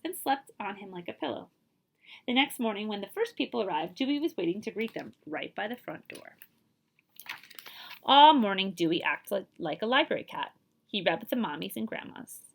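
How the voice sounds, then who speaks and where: Female children's storyteller, fairly high and very lively, expressive librarian read-aloud with dramatic pauses. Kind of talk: animated children's picture book read-aloud.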